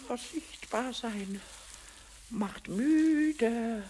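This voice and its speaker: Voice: medium pitch, lively intonation, extremely slow, a little mellow, slight background noise. Drowsy, drawling little kobold. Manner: drowsy, childlike, rhyming chatter. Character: small mischievous sleepy male kobold. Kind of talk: radio play acting for children.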